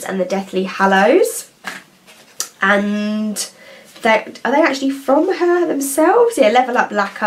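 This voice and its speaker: A woman, chatting casually and enthusiastically to camera with lots of hesitations and asides. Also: a little high. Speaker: nail polish vlogger.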